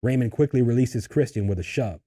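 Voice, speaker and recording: A man reading screenplay stage directions in a husky voice, as scripted narration at a table read. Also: medium pitch, brisk, warm tone.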